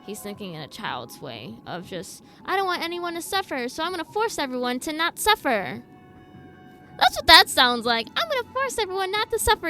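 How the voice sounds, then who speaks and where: A woman's voice: very high-pitched, sharp tone. A woman streaming a game, giving casual, informal reaction commentary as she thinks aloud in a skeptical way.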